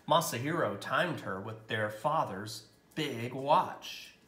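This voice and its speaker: A man narrating a picture book aloud as a storyteller, with expressive, dramatic and deliberate phrasing. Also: mid-range voice, echoey room.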